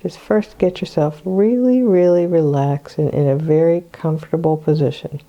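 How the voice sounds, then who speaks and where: An older woman with a cold, hoarse and clearing her throat, guiding a meditation in a slow, soothing way. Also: low voice, dark tone, dull audio. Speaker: meditation guide. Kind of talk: guided meditation.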